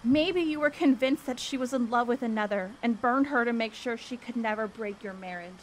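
A woman's voice: on the high side, fairly quick, natural voice, rough recording. A woman playing a determined young woman seeking the truth in ancient Greece, speaking boldly and earnestly in an audio drama. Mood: passionate, challenging. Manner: bold, earnest, declamatory. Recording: faint background noise.

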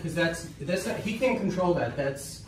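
A fairly high-voiced man in his fifties talks casually and bluntly to patients as he works. He sounds like a joking practitioner. The camera mic is at a distance, with some room echo and faint noise. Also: animated, normal speed. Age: 50s. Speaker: chiropractor, host. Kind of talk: casual chat with patients while working. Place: small treatment room indoors. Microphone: handheld camera a few feet away.

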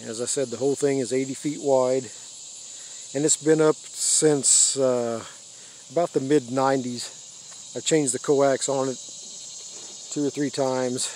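An older American man giving a casual, unscripted show-and-tell in halting short phrases, recorded outdoors on a handheld device. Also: medium pitch, steady tone, darkish tone, a little hiss or hum. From United States. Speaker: radio hobbyist giving a tour. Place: open rural backyard, outdoors. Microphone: handheld phone or camera mic.

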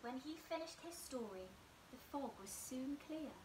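Young female children's storyteller, animated and slow-paced, high voice, echoey home room with hiss. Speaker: host of bedtime story readings. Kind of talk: expressive children's storybook read-aloud. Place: small indoor room at home. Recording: roomy echo, clear background hiss.

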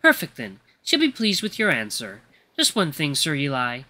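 Performer voicing a poised, stern maid with a bright tone. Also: mid-range voice, wildly swooping pitch. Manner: formal, measured, commanding. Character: tall, poised, stern female maid servant to a princess.

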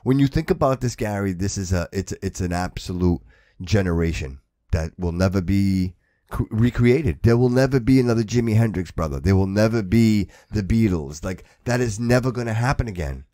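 Low-voiced man, slangy podcast host. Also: lively intonation, normal speed, dark tone, dry recording, clean studio sound. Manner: slangy, repetitive, addressing listener as bro and dude. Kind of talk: casual, emphatic chat.

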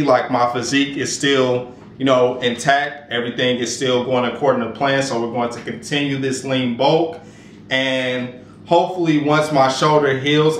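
A man in his thirties casually talking to camera about fitness from his home kitchen, relaxed and conversational with frequent fillers and restarts. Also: mid-range voice. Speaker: fitness vlogger. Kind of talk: casual vlog talk to camera. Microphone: camera mic at arm's length. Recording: echoey room, faint background noise.

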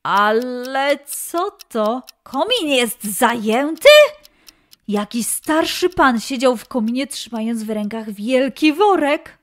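A woman narrating a scripted children's story in an expressive, deliberate manner. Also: high voice.

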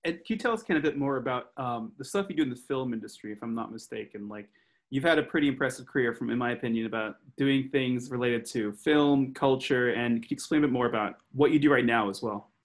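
A young man with a mid-range voice hosts a podcast interview, speaking in a friendly, earnest way. His questions come out a little halting as he searches for words. He talks into a headset mic from a room at home. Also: mid-range voice. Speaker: podcast host. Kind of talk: interview hosting.

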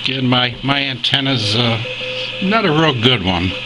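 A man giving a casual, unscripted demo as a radio hobbyist, speaking in a hesitant, halting way. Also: medium pitch, lively intonation. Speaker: radio hobbyist demonstrating his homemade receiver. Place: indoors at a desk. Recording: clear radio static and noise behind. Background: shortwave receiver sounds.

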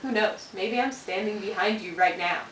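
A woman's voice: medium pitch, echoey room, lightly acted. A teenage girl acting as a bitter, sardonic dead girl narrating confession tapes in a student film. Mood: wry, eerie. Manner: deliberate, sardonic, dramatic delivery. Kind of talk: scripted dramatic monologue for amateur student film. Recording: hissy, distant amateur room recording.

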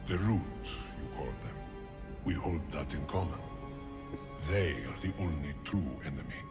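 Very deep, ancient solemn king. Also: lively intonation, vocal fry, heavily acted. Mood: solemn, condescending. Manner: slow, grave, deliberate pronouncements. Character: ancient solemn otherworldly king, patient and condescending. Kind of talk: video game character monologue. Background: music.

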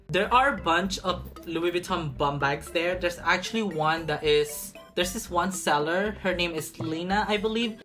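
A man's voice: high-pitched, pushed and bright. A young man chatting casually while unboxing a bag in a small apartment room, sassy and dramatic, stretching words for emphasis. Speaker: vlogger.

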